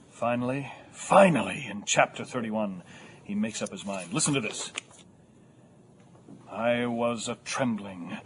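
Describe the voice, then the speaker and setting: An actor playing a bitter, sarcastic male high school English teacher, pompous and literary in his scorn. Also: a bit bright, a little hiss or hum, natural voice. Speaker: actor in audio drama.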